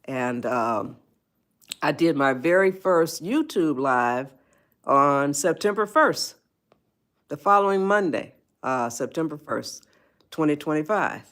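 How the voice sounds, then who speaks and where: Slow-talking woman in her eighties. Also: low-pitched, sing-song, fairly bright tone. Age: 80s. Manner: slow, rambling, repeats herself, greets viewers by name. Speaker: live-stream advice host. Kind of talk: spontaneous livestream talk.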